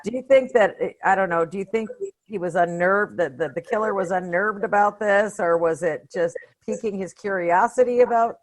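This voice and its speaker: A woman's voice: mid-range voice, moderate pace. A woman co-hosting a casual film discussion in a conversational, questioning way. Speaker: podcast co-host.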